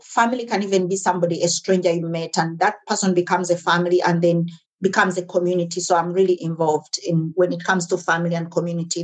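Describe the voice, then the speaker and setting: Ugandan-born woman in her 40s, kinda husky and lowish voice, earnest, keeps restarting sentences. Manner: earnest, restarts and repeats words. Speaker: guest, entrepreneur. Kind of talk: casual interview conversation.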